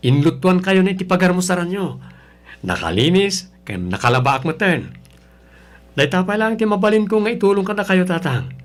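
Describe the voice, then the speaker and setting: A man's voice: high-pitched, big pitch swings, moderate pace. A man with an Ilocano Filipino accent acting a meek, patient young son-in-law in a radio drama, hesitant, polite and apologetic. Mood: earnest, resigned. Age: young adult.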